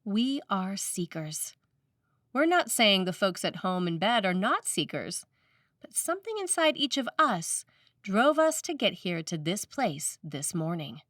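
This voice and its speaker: Female preacher, mid-pitched, scripted sermon delivery, measured phrasing, dry but slightly off-mic. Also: very animated, fairly bright tone, no room sound. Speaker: priest reading a sermon. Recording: slightly distant microphone, dry room.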